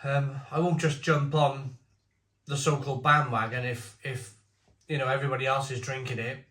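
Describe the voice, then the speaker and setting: A man's voice: medium pitch, level tone, normal speed, fairly bright tone. A man in his forties giving a casual, opinionated beer review, talking bluntly in rambling asides. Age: 40s. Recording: roomy echo.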